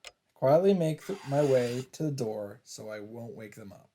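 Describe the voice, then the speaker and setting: A man's voice: mid-range voice, expressive, normal speed, dark tone, a little hiss or hum. A man doing casual let's play commentary, reacting aloud and reading game text out in English. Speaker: let's player. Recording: music playing behind. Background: game music.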